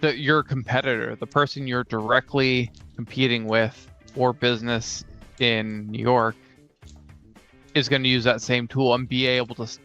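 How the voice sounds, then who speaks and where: A man's voice: mid-range voice, flat delivery, normal speed, sharp tone. A man speaking in a casual podcast discussion, in a slightly muffled, dry recording. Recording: slightly muffled, dry, faint music behind.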